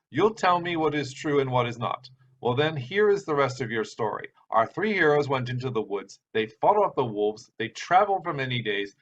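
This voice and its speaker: A man's voice: mid-range voice, fairly bright tone. A man voicing a grumpy, sarcastic uncle who tells stories in an exasperated, declamatory way. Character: grumpy, theatrical storytelling uncle with sarcastic temper. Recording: dull sound with background hiss.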